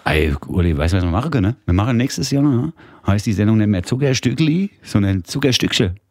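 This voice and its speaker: Joking Hessian man, lively pitch. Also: dry recording, clean studio sound. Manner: joking, drawn-out dialect talk. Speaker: radio podcast co-host. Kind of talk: casual banter, puts on Hessian dialect.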